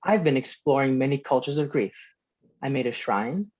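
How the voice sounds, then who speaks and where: A woman's voice: a bass voice, animated, moderate pace, darkish tone, breathy edge, lo-fi sound, heavily acted. A woman playing an eccentric, earnest ten-year-old boy who is wise beyond his years, in a comedy script read. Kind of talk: table read of a comedy pilot.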